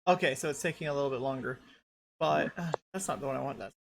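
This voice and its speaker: Gravelly-voiced woman hosting casual chat. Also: extremely low pitch, fast, background noise. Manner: rambling, repeats herself while searching for photos. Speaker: podcast host.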